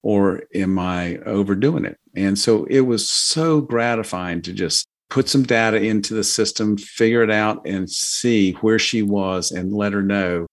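A man's voice: fairly low pitch, unhurried, few pauses. An older man giving financial advice as a podcast guest, in a casual, earnest, folksy and loosely phrased way.

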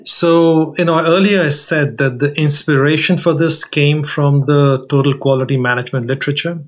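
A man's voice: on the high side, fairly even intonation, moderate pace. A man speaking as an expert interview guest, explaining things methodically and setting out his points in order.

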